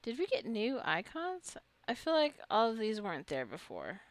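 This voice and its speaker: A woman's voice: fairly high pitch, huge pitch swings, normal speed. A woman giving casual gameplay commentary, rambling and thinking aloud as she plays. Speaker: gamer narrating her own gameplay.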